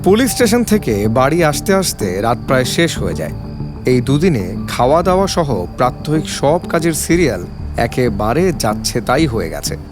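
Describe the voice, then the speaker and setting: A man's voice: medium pitch, sing-song, moderate pace, music in the background. A man giving a dramatic scripted narration of an audio story.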